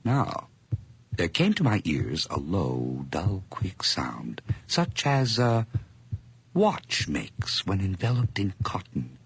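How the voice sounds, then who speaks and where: An obsessive murderer narrating a radio play in a very deep, gravelly voice. His pitch swings widely as he moves between whispers and feverish outbursts. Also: wildly swooping pitch, takes it slowly, no echo, heavily acted. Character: nervous, obsessive man confessing a murder.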